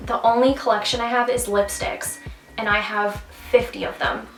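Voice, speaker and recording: A bubbly young Canadian woman with a mid-range voice rambles happily, dropping in lots of fillers. Her voice sits some distance from the mic in an echoey room, with soft music playing behind. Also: a little high, level tone, moderate pace. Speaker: vlogger. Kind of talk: casual chat.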